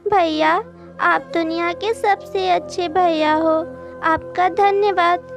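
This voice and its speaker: Woman voicing a very high-pitched childlike little cartoon bird. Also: steady tone, slow pace, vocal fry, exaggerated voice. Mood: delighted. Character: small young songbird, childlike, earnest and kind. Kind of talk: children's cartoon dubbing in Hindi. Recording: music behind the voice.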